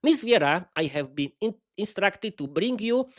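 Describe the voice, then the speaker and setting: A man's voice: sits high, animated, normal speed. Male reader as a polite, formal chauffeur.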